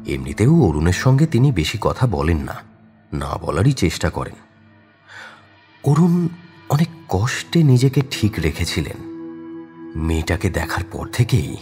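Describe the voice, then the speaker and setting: Deep-voiced, expressive male story narrator. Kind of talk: dramatic scripted audio story narration.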